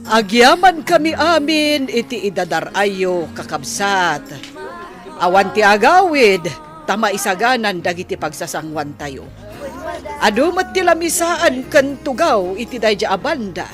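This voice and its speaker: Slow, expressive grandmother in radio drama. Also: medium pitch, soft background music. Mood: festive. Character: elderly grandmother and motherly wedding hostess. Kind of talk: Ilocano radio drama acting.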